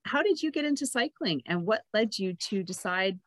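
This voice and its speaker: A woman's voice: mid-range voice, animated, normal speed, a bit bright, no room sound. A woman hosting a casual podcast interview, chatting in an enthusiastic way. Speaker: podcast host.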